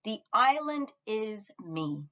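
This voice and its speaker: A woman's voice: medium pitch, sing-song, takes it slowly, a bit bright, muffled recording. A woman reading a storybook, voicing a curious, eager and earnest little girl.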